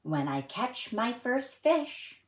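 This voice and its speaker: A woman hosting a children's story time, reading aloud and presenting to young viewers in an expressive, measured way. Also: on the high side, reverberant.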